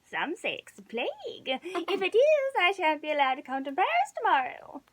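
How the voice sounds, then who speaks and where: Coarse old French cart-driver hag, acted out by a female reader, brazen and taunting, high voice, storybook villainess. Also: huge pitch swings, normal speed, heavily acted. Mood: sly.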